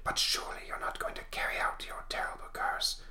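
A man voicing a solemn, grim avenging ghost from a comic book in a slow, breathy whisper. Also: sharp tone, echoey room. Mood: grim, defiant. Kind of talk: comic book read aloud.

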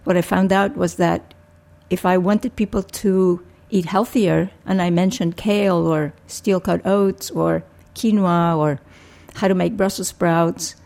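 An older woman from Rico, a family physician answering interview questions in a measured, thoughtful way. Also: on the low side, fairly even intonation, creaky, darkish tone. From Puerto Rico. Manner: measured, thoughtful, with frequent restarts.

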